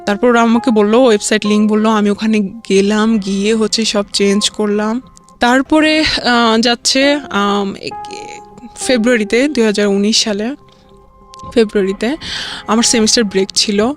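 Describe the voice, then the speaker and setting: Young Bangladeshi female interview guest, mid-pitched, halting rambling personal storyteller, music underneath. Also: steady tone. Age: young adult. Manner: halting, rambling, repeats filler phrases. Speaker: guest telling own life story.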